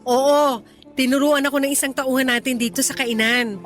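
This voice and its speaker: A woman's voice: sits high, fairly even intonation, normal speed, close to an everyday voice. An actress playing an indignant middle-aged widowed mother in a Filipino radio drama, scolding and sulking. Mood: stern, scolding. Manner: scolding, aggrieved, sulky. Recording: music playing under the dialogue.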